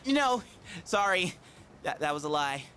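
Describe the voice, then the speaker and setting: An actor voicing an eager, cheeky teenage schoolboy in a video game, excitable and glib. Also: male voice, high-pitched, moderate pace, soft background music. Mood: sheepish. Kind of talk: English video game voice acting.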